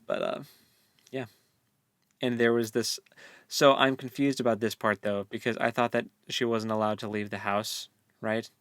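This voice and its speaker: A man's voice: lowish voice, steady tone, normal speed, long pauses, fairly bright tone, no echo. Dry-recorded young American man, chatting casually. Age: late 20s. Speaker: podcast co-host. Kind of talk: casual book club chat.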